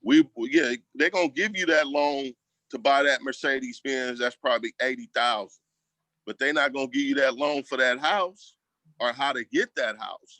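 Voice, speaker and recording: Casting: American man, community advocate, bright punchy voice, dry close sound, casual but emphatic interview talk. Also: mid-range voice, dry recording. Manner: emphatic, colloquial, repeats phrases for emphasis. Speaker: school district equity staff member and community advocate.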